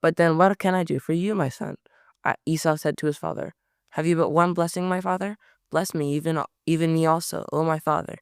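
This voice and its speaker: A man reading scripture aloud at a steady pace, often stumbling over words and correcting himself. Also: a little high, fairly quick, clean tone, no room sound, studio quality. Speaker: Bible reader.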